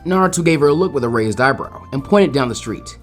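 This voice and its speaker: A man reading a story aloud as narrator, in an animated scripted style. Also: mid-range voice, fast talker, soft background music.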